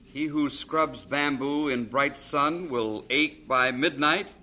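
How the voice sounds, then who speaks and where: Jovial teasing friend, bright forceful voice, medium pitch, vintage radio drama. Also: male voice, takes it slowly. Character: teasing, jovial friend of a hard-working missionary. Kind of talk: old-time radio drama acting.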